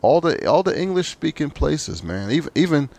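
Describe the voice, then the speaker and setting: A man's voice: on the high side, very animated, moderate pace, creaky, a little mellow. A man with a Southern US accent hosting an interview in a casual, informal and appreciative way. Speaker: podcast host and interviewer.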